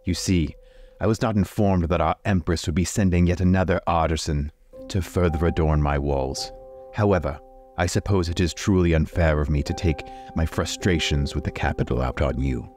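Man playing a low-voiced, weary fantasy baron. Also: darkish tone, close to an everyday voice. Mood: weary, conciliatory. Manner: formal, measured, hesitant pauses. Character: weary, stern, softening older male fantasy baron. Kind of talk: in-character tabletop roleplay. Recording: music playing behind.

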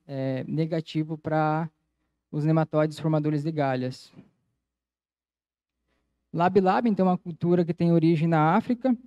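Male lecturer, slightly high mellow voice, methodical explainer presenting at a conference. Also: clean tone, dry recording, muffled recording. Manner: explanatory, methodical, frequent "então" connectives. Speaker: agronomy lecturer. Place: auditorium stage, indoors. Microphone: handheld microphone.

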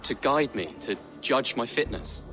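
A man's voice: mid-range voice, lively intonation, unhurried, over music, close to an everyday voice. A man playing a nervous young noble. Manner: polite, hesitant.

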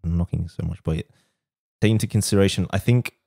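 A man's voice: low voice, fast talker, gravelly, mellow. A man of Bengali heritage co-hosting a podcast, putting forward counterpoints with many fillers and restarts. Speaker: podcast co-host. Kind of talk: casual debate.

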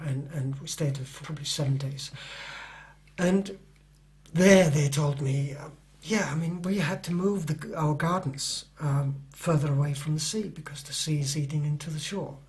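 A man's voice: a little high, normal speed, a little mellow. A middle-aged man who is not a native English speaker, an author answering interview questions in a hesitant way, with many fillers and restarts.

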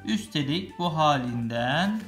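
A woman's voice: deep voice, animated, takes it slowly. A woman reading a storybook aloud to a young child as a parent, breaking off to explain and chat. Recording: music playing behind the voice. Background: music.

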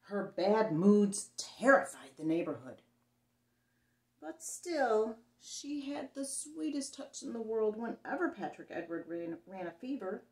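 A middle-aged woman performs a read-aloud for little children. Her pitch moves widely and she leans into dramatic emphasis and pauses. The room adds noticeable echo around her voice. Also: sing-song, a little mellow. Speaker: children's storyteller reading a picture book aloud. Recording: roomy echo on the voice.

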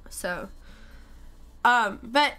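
A woman's voice: on the high side, animated, very slow, sharp tone, faint music behind. A young woman streaming live, chatting casually with viewers in a rambling way with many ums, sick and losing her voice at times. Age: young adult.

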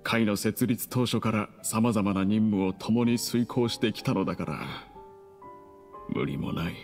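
An actor playing a courteous, composed gentleman bodyguard in an anime game, measured and polite. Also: fairly low pitch, faint music behind. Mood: solemn.

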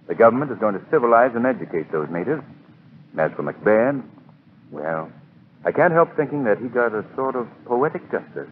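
An actor playing a composed, urbane hero with a wry, measured manner in a 1940s radio drama. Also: male voice, medium pitch, very animated, lightly acted. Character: composed, urbane male hero of a mystery serial. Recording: tinny, hissy 1940s radio recording.

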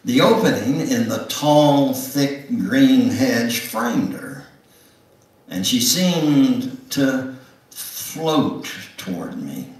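A ninety-year-old man giving a dramatic, pause-filled memoir reading as a guest speaker. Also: medium pitch, very animated, darkish tone. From Knoxville, Tennessee, United States. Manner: slow, theatrical delivery with long pauses. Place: church sanctuary, indoors. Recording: echoey hall, distant microphone.

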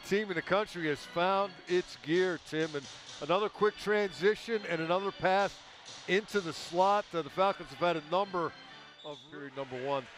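A man's voice: high voice, normal speed, a bit bright, soft background music. A man giving color commentary at a live hockey game, breaking down plays in a loose, analytical way with frequent fillers.

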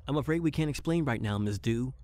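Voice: mid-range voice, fast talker, warm tone, no echo, natural voice. An actor playing a socially awkward, formal detective in an audio drama, with precise, stiff wording. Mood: awkward, polite. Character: formal, socially awkward detective narrator, reciting data robotically. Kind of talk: audio drama acting and noir narration.

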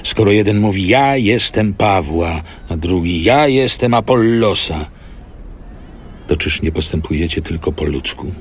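A man reading a Bible letter in a slow, solemn, emphatic scripted way. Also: deep voice, animated, a little hiss or hum.

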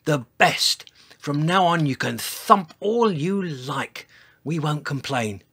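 A reader voicing a motherly, decisive female dinosaur with an emphatic, warm-hearted manner in a children's storybook. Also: medium pitch, animated, slow, husky, exaggerated voice. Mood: grateful.

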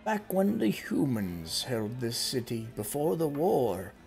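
A man's voice: medium pitch, sing-song, takes it slowly, gravelly, a little mellow, soft background music, heavily acted. A man voicing a scratchy-voiced old male quest giver who rambles hesitantly with many fillers. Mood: pleading.

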